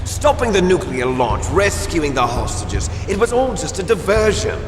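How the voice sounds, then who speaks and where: An actor playing a bitter, gloating villain twin with grand ambitions in a video game, theatrical and taunting. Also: male voice, a little high, big pitch swings, normal speed. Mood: smug, taunting. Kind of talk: dramatic video game voice acting. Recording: echoey hall reverb under loud music.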